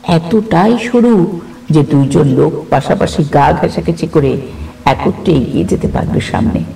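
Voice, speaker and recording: Male horror narrator, theatrical and suspenseful, mellow yet creaky, echoing recording. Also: high-pitched, a little hiss or hum. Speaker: storyteller, narrator. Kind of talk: dramatic scripted horror narration. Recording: roomy, distant sound with music behind.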